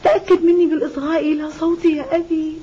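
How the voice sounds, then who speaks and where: A devoted young daughter in a cartoon drama, very high and mellow. She speaks with tender, earnest pleading. The audio is somewhat dull. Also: level tone, racing. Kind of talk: animated drama dub acting.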